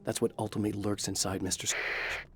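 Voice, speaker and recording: An actor playing a brooding, tormented novelist in a video game, narrating gravely and with measured delivery like noir. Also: male voice, on the low side, flat delivery, a bit bright, faint music behind, close to an everyday voice. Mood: grim. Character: brooding tormented bestselling crime novelist hero, adult man. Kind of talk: scripted first-person noir narration.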